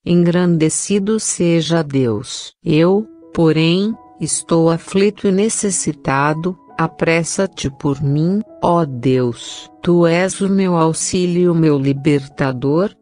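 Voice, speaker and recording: A woman with a Brazilian accent recites prayers in a solemn, measured way. Her voice sits very low for a woman, and she takes each phrase unhurriedly. Soft music plays faintly behind her. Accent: Brazilian Portuguese. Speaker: prayer reader. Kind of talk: slow scripted prayer reading.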